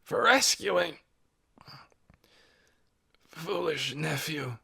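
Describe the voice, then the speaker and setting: A man voicing a weak, injured old man who rasps out halting, broken phrases and fades to a whisper, in an audiobook. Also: fairly high pitch, lively intonation, bright, forceful tone, voice pushed up, heavily acted. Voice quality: raspy, fading to a whisper.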